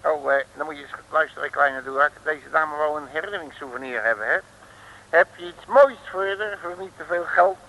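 A brash, boastful bumbling detective. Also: male voice, on the high side, a little fast, sharp tone, poor audio. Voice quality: brash, chatty, slangy. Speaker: detective Piet Loeris. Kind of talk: 1950s Dutch radio comedy acting.

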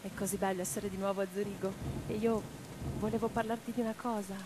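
An actress playing a spirited, passionate young woman revolutionary in a radio play, expressive and theatrical. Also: mid-range voice, fast talker, background noise, natural voice. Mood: affectionate, hesitant. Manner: expressive, theatrical, declamatory when roused.

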